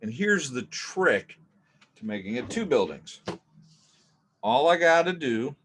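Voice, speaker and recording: Man, art teacher, casual and jokey, mid-pitched voice, faint background hiss. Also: slow. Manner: relaxed, chatty, jokey asides. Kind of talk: casual drawing lesson.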